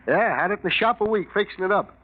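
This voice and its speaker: A man's voice: sits high, fast talker, close to an everyday voice. A man playing an anxious, stammering small-time garage owner in a 1940s radio drama. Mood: nervous. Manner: flustered, stammering, protesting. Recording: tinny, faintly noisy 1940s radio recording.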